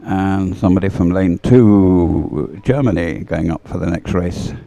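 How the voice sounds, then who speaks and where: A man's voice: deep voice, measured pace, vocal fry, dark tone, no room sound. A man giving casual, unscripted live sports commentary in a hesitant, musing way.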